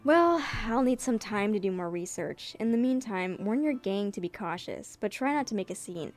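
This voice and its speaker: A woman voicing a polite, reserved young guy from a comic in a low-key, casual reading. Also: lively intonation, moderate pace, soft background music, natural voice. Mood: earnest, low-key. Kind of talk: amateur dramatic comic reading.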